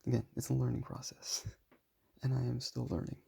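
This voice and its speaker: A young man with a raspy voice, talking casually and modestly while showing his sketches. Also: medium pitch, level tone, brisk. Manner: soft-spoken, self-deprecating, rambling.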